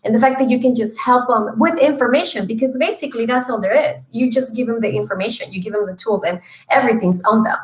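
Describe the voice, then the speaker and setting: A woman's voice: on the high side, fast talker, few pauses. Earnest woman from Mexico on a video call. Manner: earnest, with many fillers and restarts. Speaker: guest, small business loan expert. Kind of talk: casual interview chat. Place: home room. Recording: roomy video call audio.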